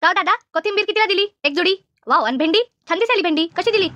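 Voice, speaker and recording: A woman voicing a practical, chiding village woman in a comedy cartoon, brisk and no-nonsense. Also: very high-pitched, bright, forceful tone, dry recording. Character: practical, scolding village woman, a concerned friend. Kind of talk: animated comedy cartoon dialogue.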